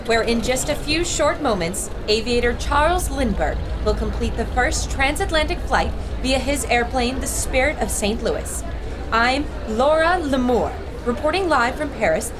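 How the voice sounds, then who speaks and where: A woman playing an intrepid, determined 1920s radio reporter in an old-time audio drama, with a proclaiming, plucky delivery. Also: high voice. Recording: noisy ambience behind the voice.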